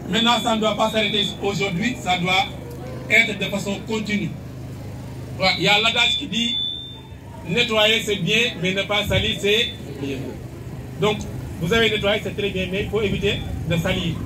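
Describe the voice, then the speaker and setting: Man, school official, lecturing pupils; high voice, distant mic, loud background noise. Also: steady tone, moderate pace. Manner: didactic, repeats key points, asks rhetorical questions. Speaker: school official addressing students. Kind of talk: speech to students at a school event.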